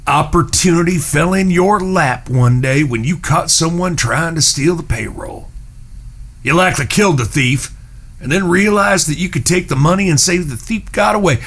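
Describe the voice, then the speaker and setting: An actor playing a shrewd, menacing Old West gunslinger, speaking in a deliberate drawl in an audio drama. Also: male voice, fairly high pitch, a bit bright. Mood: knowing, accusing. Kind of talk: western audio drama acting.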